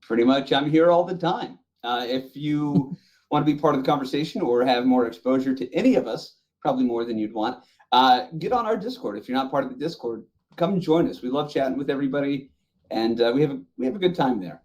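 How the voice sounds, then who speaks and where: Mid-pitched man in casual podcast chat. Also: lively intonation, a little fast, darkish tone, dull audio. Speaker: podcast panelist.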